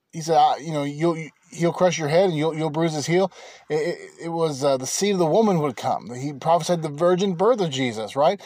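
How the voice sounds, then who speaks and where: A man hosting a Bible teaching podcast from inside his car, talking in a halting way with many fillers and restarts. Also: a little high, a little mellow, no echo, clean studio sound. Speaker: podcast host and preacher.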